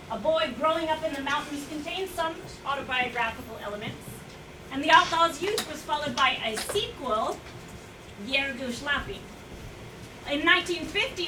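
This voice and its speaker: A woman playing an eager, courteous presenter in a staged reading, speaking in a formal, declamatory way. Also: sits high. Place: indoor room at a staged reading. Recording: roomy, distant mic, noisy live hall recording.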